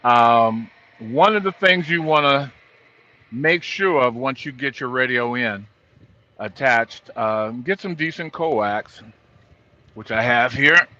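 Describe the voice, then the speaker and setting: A middle-aged or older man, a trucker, giving an informal, halting walkthrough of gear from inside his truck cab. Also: mid-range voice, lively intonation, sharp tone. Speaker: truck driver vlogger. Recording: dull lo-fi audio.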